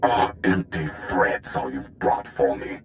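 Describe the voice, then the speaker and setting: A man's voice: rumbling low, lively intonation, slow pace, slight background noise, exaggerated voice. A man voicing a menacing, contemptuous overlord in a video game. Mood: scornful. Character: menacing, scornful deep-voiced male overlord. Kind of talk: video game cutscene acting. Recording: echoing space, faint music.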